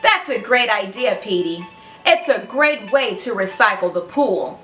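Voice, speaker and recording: A woman's voice: mid-range voice, animated, a little slow, fairly bright tone, faint music behind. A woman voicing an encouraging, practical father in a children's storybook, in a plain, approving manner.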